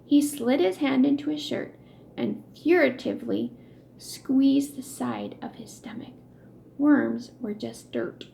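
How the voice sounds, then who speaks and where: A woman reading a children's story aloud in an expressive, emphatic, deliberate way. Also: sits high, gravelly, a little hiss or hum. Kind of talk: expressive read-aloud narration with acted dialogue.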